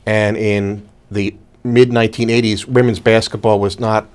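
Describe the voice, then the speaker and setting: A man in his fifties with a fairly low voice co-hosts a talk show from a TV studio. He works in public relations. He speaks in a measured way and tells his anecdotes steadily, step by step. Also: flat delivery, smooth. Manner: measured, storytelling. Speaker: public relations professional, co-host. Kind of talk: casual talk-show conversation.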